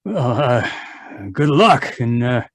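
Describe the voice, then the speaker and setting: Very slow, hesitant gentleman client. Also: medium pitch, animated. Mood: uneasy. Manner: halting, stammering. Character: hesitant, uneasy well-off male client. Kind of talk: audio drama acting.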